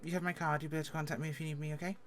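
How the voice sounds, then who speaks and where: A woman's voice: low voice, level tone, rapid-fire, natural voice. A woman playing a firm, protective public defender in an improvised online roleplay, speaking assertively. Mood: matter-of-fact, weary. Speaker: streamer and roleplayer.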